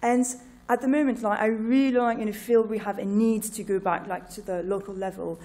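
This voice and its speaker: A chatty French woman in her thirties talks through her work in English. Her accent is French with a partly British tinge. She speaks loosely and informally, filling pauses with um, uh and like. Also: medium pitch, steady tone, dark tone. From France. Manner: chatty, many fillers and "like". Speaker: researcher presenting her project. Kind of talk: informal project presentation.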